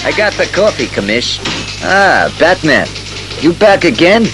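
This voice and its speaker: A man's voice: on the high side, big pitch swings, music in the background. An actor playing a dim, eager, bumbling male police sergeant as puzzled comic relief in an audio drama. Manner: slow-witted, puzzled, chummy.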